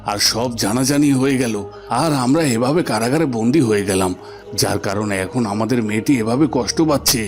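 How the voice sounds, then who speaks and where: A man's voice: medium pitch, a little fast, lightly acted. Man playing a resigned, scheming cartoon commander. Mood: regretful. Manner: grave, regretful. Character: defeated scheming army commander, imprisoned father, resigned. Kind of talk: melodramatic cartoon voice acting. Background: music.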